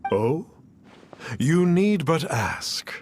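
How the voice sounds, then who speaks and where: A man's voice: on the high side, big pitch swings, drawn-out words, a little hiss or hum, exaggerated voice. A man playing a solemn masked mystic sage in a video game, speaking in a pompous, archaic, formal and theatrical way. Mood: solemn, cryptic. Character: solemn masked male adeptus sage, lofty, cryptic, theatrical. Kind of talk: video game character dialogue.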